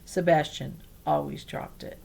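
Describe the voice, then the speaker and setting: Low-pitched woman reading a children's storybook. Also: steady tone, a little slow, gravelly, a little mellow. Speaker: read-aloud reader. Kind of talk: children's storybook read-aloud.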